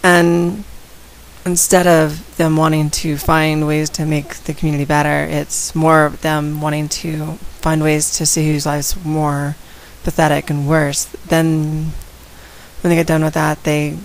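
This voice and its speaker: A woman speaks to her viewers in a low, gravelly voice, raspy from a head cold. She talks in a direct, outspoken way. A faint hiss sits under the recording. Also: level tone, measured pace, poor audio. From San Antonio, Texas. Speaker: vlogger. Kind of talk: casual talk to camera.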